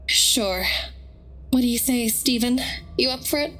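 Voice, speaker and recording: A woman playing a wary, sharp-tongued crew member in an audio drama, skeptical and matter-of-fact. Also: a little high, unhurried.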